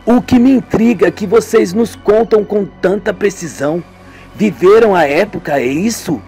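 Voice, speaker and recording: A man with a Brazilian accent and a husky voice, reading a scripted radio soap and doing all the parts himself. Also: sits high, moderate pace, warm tone. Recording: music behind the voice. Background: music.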